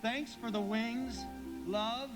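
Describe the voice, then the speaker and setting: A man's voice: very high voice, over music. A man acting an overjoyed, stammering young father in a live radio play staged from a 1940s script. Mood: tender, elated. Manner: excitable, halting, stammering. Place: live theatre stage performance.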